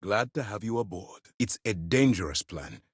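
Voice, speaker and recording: Stern space fleet commander, low voice, widely swinging intonation, formal, authoritative, principled, video game dialogue. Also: male voice, animated, normal speed, breathy edge, dry recording, clean studio sound. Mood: measured, formal.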